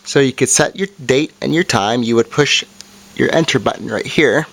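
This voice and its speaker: A man's voice: medium pitch, normal speed, gravelly, a bit bright. Man casually walking through a gadget. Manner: plain, informal step-by-step explaining. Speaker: amateur video reviewer. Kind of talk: casual product review and demonstration.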